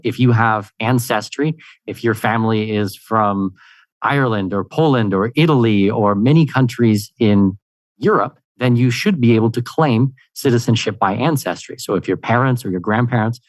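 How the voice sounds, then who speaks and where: A man from Canada, a podcast guest answering questions in an upbeat, energetic way, with plenty of "you know" fillers. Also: fairly low pitch, moderate pace, no echo. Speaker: guest, expat consultant. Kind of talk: conversational interview answers.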